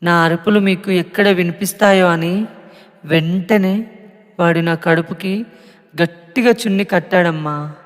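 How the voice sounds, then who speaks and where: A man's voice: high voice, unhurried, pauses often. A man voicing the spirit of a murdered young pregnant woman who speaks to her family in a sorrowful, pleading monologue. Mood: anguished, pleading. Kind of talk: emotional monologue. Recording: long echoing reverb.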